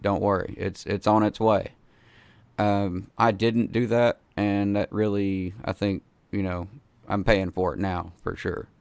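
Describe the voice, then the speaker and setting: Brief: hoarse man in his forties, laid-back and slangy, with a gravelly, fairly low voice and a dry, close studio mic. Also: flat delivery, a little slow, dry recording. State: hoarse from a cold. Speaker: host. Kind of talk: casual video-call interview. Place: home studio with foam panels. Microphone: studio mic in frame.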